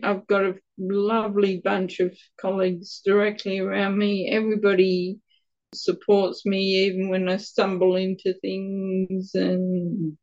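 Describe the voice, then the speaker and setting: A woman speaks at a very unhurried pace, her voice quiet and gravelly. She halts and restarts now and then as she answers. The sound is dull and lo-fi. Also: mid-range voice. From Australia. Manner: halting, stumbles a little over words. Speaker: guest with Parkinson's. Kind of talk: casual interview answers.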